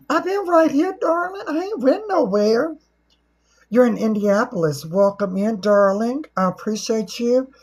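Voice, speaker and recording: A middle-aged woman with a husky voice, chatting casually as a livestream host in a folksy, teasing, joking way. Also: on the high side, animated, a little slow.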